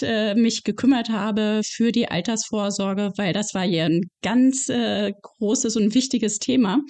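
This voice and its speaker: A woman's voice: fairly high pitch, a little mellow. A woman co-hosting a podcast interview, moderating in a friendly, upbeat way. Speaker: podcast co-host.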